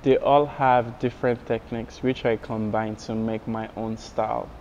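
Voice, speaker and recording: A man voicing interview answers as an interpreter, in a measured read-out style. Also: mid-range voice, steady tone, a little mellow. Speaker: interpreter voicing a deaf artist's signed answers. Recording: dull, noisy recording.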